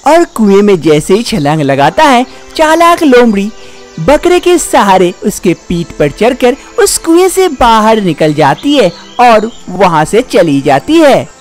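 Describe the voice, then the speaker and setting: A woman's voice: mid-range voice, big pitch swings, a little slow, a little mellow, faint music behind. A woman narrating a scripted animal fable for children as a storyteller.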